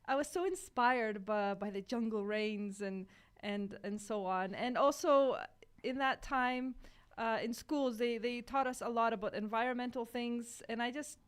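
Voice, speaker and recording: A woman's voice: a little high, measured pace, smooth. A woman from Poland whose first language is Polish, co-hosting a radio podcast and explaining things earnestly with some hesitation. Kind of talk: casual chat.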